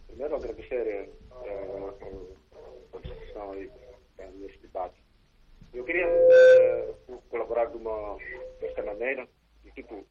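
Mozambican guy calling in, halting, stops and starts, dull muffled line. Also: mid-range voice. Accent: Mozambican Portuguese. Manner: halting, many fillers and restarts. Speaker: caller. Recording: dull phone-line audio.

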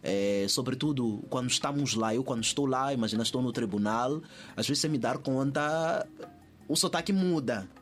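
A man's voice: medium pitch, moderate pace, faint music behind. Male interview guest, Mozambican accent, mid-range voice, conversational, thinks aloud with repeated phrases.

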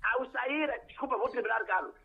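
A Mozambican man calling in to a radio show, complaining emphatically in halting, repetitive bursts. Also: extremely high pitch, creaky, husky. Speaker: radio caller. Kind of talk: impassioned call-in complaint. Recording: tinny phone line.

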